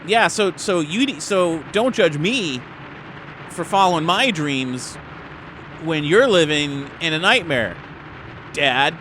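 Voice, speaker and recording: Defensive young son for a tabletop game, played by a man: high, bright, stammering, pleading. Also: expressive, slow pace, a little hiss or hum, exaggerated voice, poor audio. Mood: accusing, defiant. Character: defensive young man, aspiring actor, pleading with his father.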